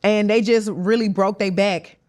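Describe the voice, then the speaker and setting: A woman's voice: medium pitch, fairly even intonation, normal speed, a little mellow. A young adult woman from Houston with a Southern Texas drawl, a rapper guest talking casually, candid and slangy, laughing and swearing freely. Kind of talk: casual interview chat.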